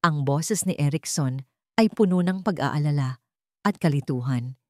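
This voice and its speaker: Low-pitched woman narrating a dramatic story. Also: moderate pace, darkish tone, no echo, clean studio sound. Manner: emotive, solemn.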